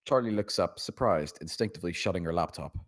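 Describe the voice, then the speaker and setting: A man's voice: sits low, fast talker. A man reading out stage directions as narrator at a script table read.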